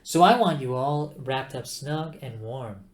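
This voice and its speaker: Brief: man putting on a high, bossy motherly computer voice, fussing and nannying, roomy echo. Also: animated, a little slow, close to an everyday voice. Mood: fussy. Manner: sing-song, bossy and nannying. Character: fussy motherly female ship computer.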